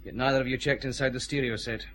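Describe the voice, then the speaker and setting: A man acting a brisk, commanding police chief, noisy backdrop. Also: medium pitch, steady tone, fairly bright tone, natural voice. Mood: stern. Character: brisk, authoritative male detective chief inspector. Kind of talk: radio detective drama acting. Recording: clear background noise, ambience.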